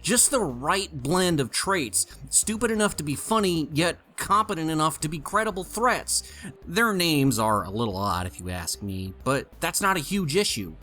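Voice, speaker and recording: A man's voice: a little high, sing-song, moderate pace, pushed and bright, soft background music, exaggerated voice. A man voicing a gushing, nerdy, chubby pony stallion fan in an audio play, talkative and opinionated. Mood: enthusiastic. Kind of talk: audio play character acting.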